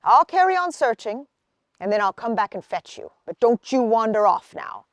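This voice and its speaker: A woman voicing a busy, obliging male squirrel in a storybook, brisk and a bit bossy. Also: fairly high pitch, sing-song, no room sound, clean studio sound. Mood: brisk, warning.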